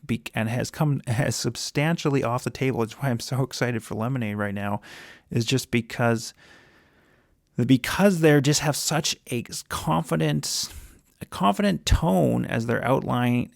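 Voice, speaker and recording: A man's voice: medium pitch, gravelly, dry recording, studio quality. A man giving informal solo commentary on business as a show host, thinking aloud and often rephrasing himself, with an enthusiastic manner. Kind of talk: informal solo commentary on a company earnings call.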